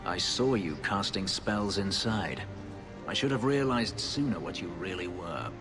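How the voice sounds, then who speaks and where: A very low-voiced male elf, a former slave who is proud, embittered and brooding. He speaks in grave, formal phrases, like a fantasy video game companion, with music playing clearly behind him. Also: expressive, unhurried. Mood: stern, wary.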